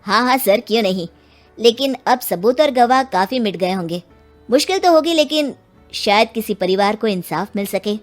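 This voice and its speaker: A woman's voice: fairly high pitch, expressive, moderate pace, soft background music, natural voice. A woman voicing an earnest, respectful male police inspector in an acted story. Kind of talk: acted Hindi audio story dialogue.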